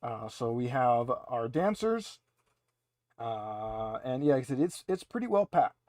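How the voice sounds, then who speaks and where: A man narrating a tabletop game as game master, moving between read description and casual, halting explanation. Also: mid-range voice, very animated, a little mellow, no room sound, studio quality.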